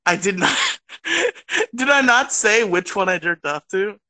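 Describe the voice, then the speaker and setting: Slow-talking young man, giggly mock outrage. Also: high-pitched, sing-song, sharp tone. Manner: laughs often, protests in mock outrage. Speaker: podcast co-host. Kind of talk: casual podcast banter. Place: home bedroom.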